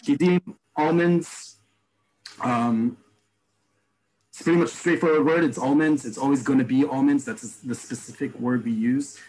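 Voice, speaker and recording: A man teaching an online class, explaining terms and repeating each word slowly. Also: mid-range voice, darkish tone, rough recording. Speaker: Hebrew teacher. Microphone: video call.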